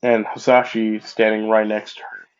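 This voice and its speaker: A man's voice: lowish voice, level tone, moderate pace, smooth. A man with a cold and a stuffed-up nose, telling a fan story in a rambling, casual way and often correcting himself. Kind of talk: improvised fan-fiction storytelling. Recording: dull lo-fi mic.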